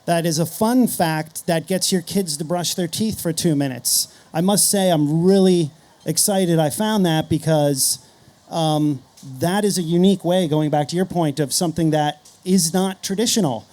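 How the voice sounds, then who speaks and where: Higher-voiced, mellow man on a panel. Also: moderate pace. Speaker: panelist, podcast host. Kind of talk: conference panel discussion, casual.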